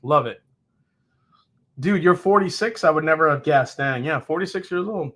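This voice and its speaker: Host, male, 40s: excitable, chatty live-stream talker with a somewhat high, bright voice. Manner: chatty and excitable, thinks aloud, quick exclamations. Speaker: host of a whiskey tasting show. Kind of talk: casual live tasting chat, reading viewer comments.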